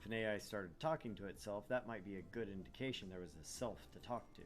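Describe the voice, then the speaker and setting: A man's voice: lowish voice, fast, faint music behind. A man reading a visual novel aloud as narrator, dropping in wry, joking casual banter. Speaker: let's play host narrating a visual novel.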